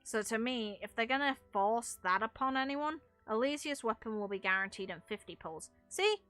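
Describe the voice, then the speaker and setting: A woman's voice: a little high, lively intonation, normal speed, fairly bright tone, no room sound, faint music behind. A woman streamer giving casual, opinionated gaming commentary in a blunt, emphatic, sweary way. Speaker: game streamer and video creator.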